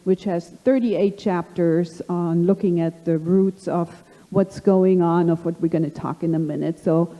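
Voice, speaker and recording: A middle-aged woman with a slight German accent hosting a live panel, halting and full of fillers. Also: on the low side, fairly even intonation, warm tone, lo-fi sound. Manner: halting, many fillers and restarts. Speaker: panel host. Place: conference hall. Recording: long hall reverb.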